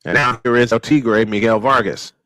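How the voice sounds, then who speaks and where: Bright, close-miked male baseball announcer. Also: mid-range voice, fairly even intonation, moderate pace, dry recording. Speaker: baseball play-by-play broadcaster. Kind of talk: old-school live sports commentary with chatty asides.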